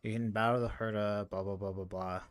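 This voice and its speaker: A man's voice: on the low side, steady tone, brisk, clear, resonant tone, soft background music. A man doing casual live game commentary, informal and muttering to himself as he thinks aloud. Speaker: game streamer.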